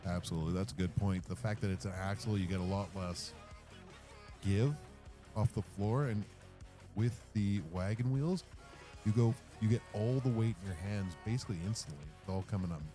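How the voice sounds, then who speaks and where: Low-voiced man calling a strongman event. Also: normal speed. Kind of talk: live play-by-play commentary. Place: outdoor strongman event at a fair. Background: guitar music.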